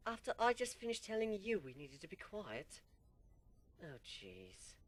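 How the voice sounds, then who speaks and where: A man narrating a fan story in the first person as an amateur dramatic reading, animated and sinking low for suspense. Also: unhurried. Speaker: story reader.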